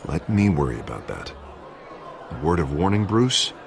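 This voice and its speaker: An actor playing a slick, earnest American politician who is eager to please, in a video game. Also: male voice, very deep voice, sing-song, moderate pace, vocal fry, background noise, full character voice. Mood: persuasive. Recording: crowd chatter behind.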